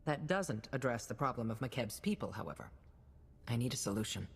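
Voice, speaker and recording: A stern, demanding head of state in a sci-fi game. Her low voice is husky and moves expressively with cutting authority. It rings in a long hall over music. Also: lively intonation, a little fast, heavily acted. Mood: stern, calculating. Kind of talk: dramatic science-fiction video game acting. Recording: reverberant hall, music behind.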